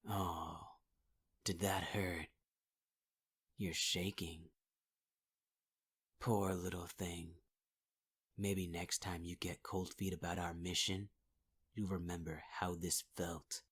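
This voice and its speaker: Male lead, deep husky voice, slow drawl, cruel taunting villain tone for ASMR roleplay. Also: level tone, a bit bright. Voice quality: husky, half-whispered delivery. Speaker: voice actor in audio roleplay.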